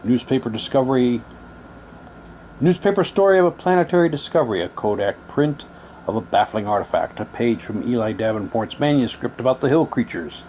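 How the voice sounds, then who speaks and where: Man, casual unboxing presenter, halting read-aloud, muttered asides, average pitch, faint background noise. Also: expressive, darkish tone. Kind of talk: casual unboxing, reading old newspaper props aloud.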